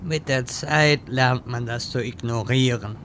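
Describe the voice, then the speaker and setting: A man playing a wise, exasperated old wizard in a fantasy parody radio play, speaking deliberately and dryly. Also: medium pitch, a bit bright, a little hiss or hum, natural voice. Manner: slow, deliberate, dry.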